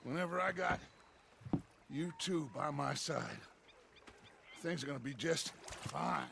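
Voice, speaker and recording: An actor playing a grandiose, genial outlaw gang leader in a Western video game, theatrical and self-assured. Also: male voice, a little high, a little slow, gravelly. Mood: reflective, reassuring. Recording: loud water and boat noise.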